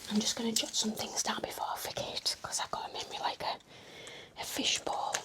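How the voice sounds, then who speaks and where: A woman speaking in a gentle, breathy, mostly whispered voice, playing a reassuring nurse in a soft-spoken ASMR medical roleplay. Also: fairly quick. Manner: gentle, reassuring bedside manner. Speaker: ASMR performer playing a home-visit nurse.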